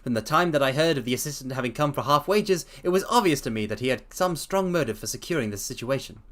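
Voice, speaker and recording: A man's voice: mid-range voice, lively intonation, fast talker, close to an everyday voice. A man voicing a brilliant, languid detective explaining his deductions in a theatrical storybook reading. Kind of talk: dramatic reading.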